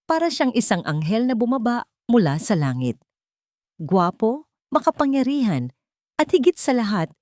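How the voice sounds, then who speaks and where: A Filipino woman reads a dramatic love story. Her voice sits slightly low and is recorded very dry, with no room sound. She tells it in measured, dramatic phrases. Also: a little mellow, dry recording. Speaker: story narrator. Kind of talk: dramatic scripted narration of a romance story.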